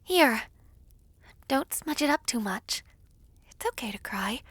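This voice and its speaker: A woman playing a gentle, frail young woman who is eager to help, in an audio roleplay drama. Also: a little high, lively intonation, slow, husky, dry recording, exaggerated voice. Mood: gentle, helpful.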